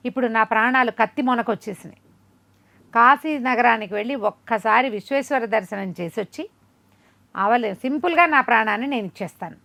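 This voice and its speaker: Woman voicing a humble, resigned noble king, high voice. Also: fairly quick, gravelly, fairly bright tone. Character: resigned noble king pleading for a last wish.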